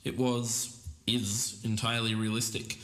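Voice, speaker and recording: A man's voice: medium pitch, level tone, a little slow, fairly bright tone, close to an everyday voice. A man acting an earnest Australian podcast investigator. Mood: earnest, careful. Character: earnest male podcaster investigating a mysterious lost show. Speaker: podcast co-host character. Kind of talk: scripted podcast narration.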